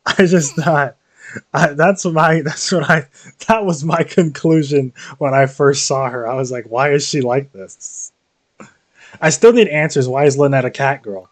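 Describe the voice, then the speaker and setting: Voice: mid-range voice, a little slow. A streamer giving casual, slangy reaction commentary on a video game in a musing way.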